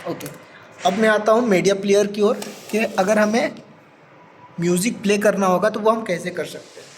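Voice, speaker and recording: Male tutorial presenter, high voice, methodical and repetitive hands-on explanation with English terms mixed in, faint noise behind. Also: fairly even intonation, moderate pace. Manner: step-by-step, repeats points, mixes English terms into speech. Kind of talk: practical hands-on demonstration.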